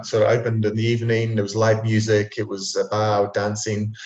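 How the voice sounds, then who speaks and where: A man from New Zealand in his forties tells his story at an easy pace, and plenty of "um"s fill his pauses. His voice sits a little low. It comes through a roomy, slightly muffled line. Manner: relaxed storytelling with frequent ums. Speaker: coffee consultant and trainer. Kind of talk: podcast interview guest.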